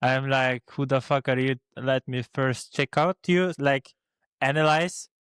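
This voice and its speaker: A man's voice: medium pitch, a little slow, a bit bright, clean tone, no echo, studio quality. A young man from Slovenia with a Slovene accent, co-hosting a casual podcast chat and speaking hesitantly with many restarts and fillers. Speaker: podcast co-host.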